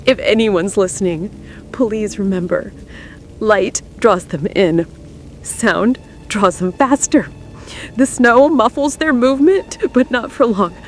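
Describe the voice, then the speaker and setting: A woman playing a weary emergency radio announcer in an apocalypse radio drama, speaking in a grave, deliberate manner. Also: medium pitch, faint music behind, close to an everyday voice. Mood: resigned, somber. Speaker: radio news broadcaster. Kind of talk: scripted radio drama broadcast.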